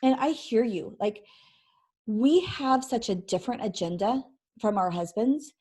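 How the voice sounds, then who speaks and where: A woman in her forties with a croaky, hoarse voice, hosting a chatty faith-based parenting vlog, laughing often and drifting into tangents. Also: fairly high pitch, lively intonation, a little slow, darkish tone. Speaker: vlog host and ministry founder. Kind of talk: casual faith-based parenting talk to camera. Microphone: microphone she sometimes bumps.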